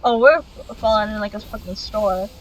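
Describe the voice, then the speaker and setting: A woman streaming a game with casual commentary, reacting and rambling in a halting way. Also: mid-range voice, poor audio.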